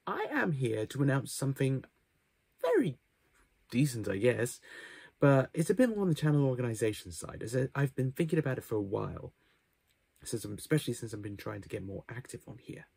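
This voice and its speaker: A man's voice: mid-range voice, very animated, fairly quick, gravelly, a little mellow, slightly rough, no echo. A man in his twenties giving a casual channel update to camera, with hesitant, self-deprecating asides. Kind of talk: casual vlog talk to camera. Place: small cluttered bedroom, indoors.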